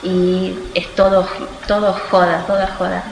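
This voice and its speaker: A young woman with a fairly low, very even voice, speaking as an interviewee. She feels her way through answers, often stopping to rephrase. The room echoes and there is clear noise behind her. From Australia. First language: Spanish. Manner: halting, searching for words, self-correcting. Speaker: student being interviewed.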